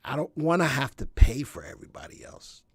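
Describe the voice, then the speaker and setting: A man hosting a live stream, his voice going hoarse and gravelly. He rambles in a folksy way and thinks out loud between viewer comments, at a middling pitch. The sound is dry and close, with no room echo. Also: big pitch swings, brisk. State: losing his voice. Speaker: market analysis show host.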